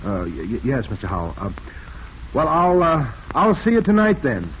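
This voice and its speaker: A failed author with a secret in a 1940s radio mystery. His mid-pitched voice is polite, guarded and often hesitant. The old recording is dull with faint hiss. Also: huge pitch swings, moderate pace. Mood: tense, furtive. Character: scheming, nervous male publishing-house reader and failed author. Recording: muffled, noisy vintage radio recording.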